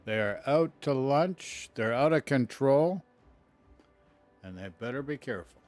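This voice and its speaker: A man streams live and chats casually, with grumbling asides between matches. His voice sits at a middle pitch, and faint music plays underneath. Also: lively intonation, a little slow, darkish tone.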